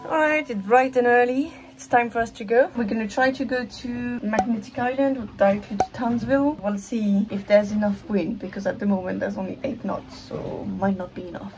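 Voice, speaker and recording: A woman in her thirties chatting casually on a sailboat, relaxed and laughing easily. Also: fairly high pitch, brisk, hardly any pauses, over music. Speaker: sailing vlogger. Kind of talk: casual chat. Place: outdoors on a sailboat at sea. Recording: noisy outdoor camera audio.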